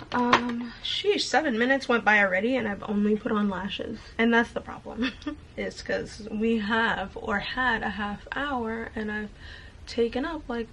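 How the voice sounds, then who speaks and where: A woman's voice: fairly high pitch, fairly bright tone, a little hiss or hum. A woman in her late twenties from the United States, chatting upbeat to the camera in her bedroom while putting on her makeup. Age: late 20s. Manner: chatty and upbeat, talking while gluing on lashes. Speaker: beauty vlogger. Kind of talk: casual chat to camera while doing makeup. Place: bedroom, indoors. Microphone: camera on desk facing her.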